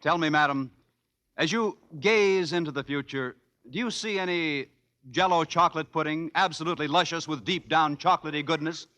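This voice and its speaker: Bright-voiced vintage radio pitchman. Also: moderate pace. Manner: polished, salesmanlike. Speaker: radio announcer. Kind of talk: 1940s scripted radio advertisement. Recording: faintly noisy vintage radio.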